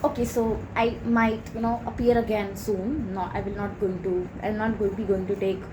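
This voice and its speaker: A woman's voice: mid-range voice, flat delivery, moderate pace. A woman chatting casually and enthusiastically to viewers as a book review vlogger, gushing as she goes. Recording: clear background noise.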